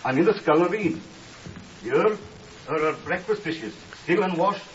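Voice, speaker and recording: An actor playing an earnest, stiffly formal young Welsh scholar in a 1950s radio drama, polite and deferential with singsong phrasing. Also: male voice, fairly high pitch, lively intonation, a little slow, recorded from a distance. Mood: earnest, insistent. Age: young adult. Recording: muffled hissy old radio recording, off mic.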